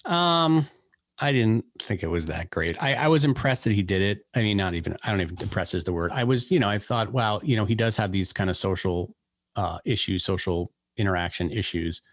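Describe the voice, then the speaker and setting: New man co-hosting a podcast, fairly low voice, talks in quick animated rambles. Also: no echo, studio quality. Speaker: podcast co-host. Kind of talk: casual podcast chat.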